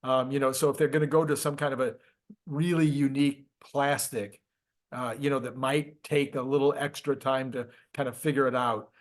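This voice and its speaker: A man hosting a casual interview, posing questions and musing out loud about his own hunches. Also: mid-range voice, flat delivery, normal speed. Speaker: host interviewer. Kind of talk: casual chat.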